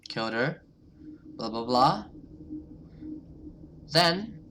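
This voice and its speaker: Guy doing a rambly lets play, reacts out loud, corrects himself, kinda noisy audio. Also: medium pitch, very animated, drawn-out words. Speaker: gaming video host. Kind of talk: casual visual novel playthrough, reading aloud with commentary.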